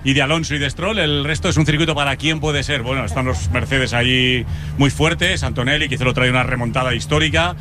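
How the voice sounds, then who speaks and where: A man interviewing a guest in casual chat, brisk and affirming, with frequent short agreements. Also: medium pitch, steady tone, noisy background.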